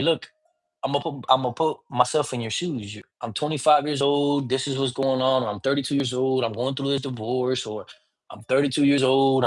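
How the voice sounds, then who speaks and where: A man talks through spiritual practice in a loose, conversational teaching style. He drops Spanish words into his English and keeps checking in with "you get me?" His pitch is in the ordinary middle range. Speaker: priest teaching divination. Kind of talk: casual teaching talk.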